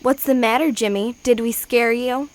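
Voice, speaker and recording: A woman's voice: a little high, fairly even intonation, normal speed, no echo, close to an everyday voice. A young female performer playing a sassy, teasing girl in an amateur radio drama. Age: child.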